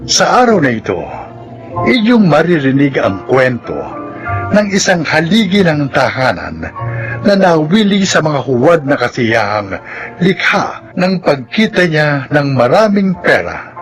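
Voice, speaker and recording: A man's voice: fairly high pitch, sing-song. Formal male radio drama narrator. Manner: measured and formal. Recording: radio broadcast with music beneath.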